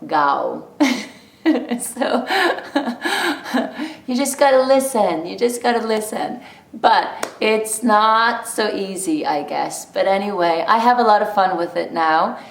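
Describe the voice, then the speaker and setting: Unhurried Norwegian-raised woman vlogging. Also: lowish voice, creaky, reverberant. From Norway. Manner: chatty, animated storytelling with laughs. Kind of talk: casual talk to camera.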